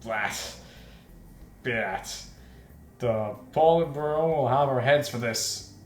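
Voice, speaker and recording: A man plays a gloomy Soviet tank girl from a visual novel, given to melodrama. The voice is mid-pitched and sits in an echoey room. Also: takes it slowly, lightly acted. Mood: grim, exasperated. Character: gloomy dramatic female Soviet tank comrade, newspaper chief.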